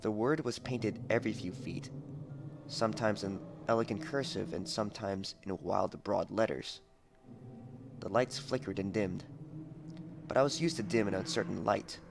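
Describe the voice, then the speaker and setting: Young guy reading an audiobook, deepish voice w lots of fry, dramatic, slightly noisy headset mic. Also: normal speed. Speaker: audiobook narrator. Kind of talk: dramatic scripted narration. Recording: noisy amateur headset recording.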